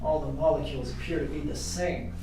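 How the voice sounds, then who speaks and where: A woman's voice: low-pitched, a little fast, noisy background, close to an everyday voice. A woman acting as a skeptical, indignant research scientist in an amateur radio play. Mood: puzzled. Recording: very echoey, faint music.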